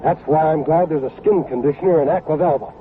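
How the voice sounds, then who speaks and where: An actor playing an earnest, declamatory masked western hero in a radio commercial. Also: male voice, on the high side, fast, close to an everyday voice. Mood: earnest, hearty. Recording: tinny, faintly noisy old radio broadcast.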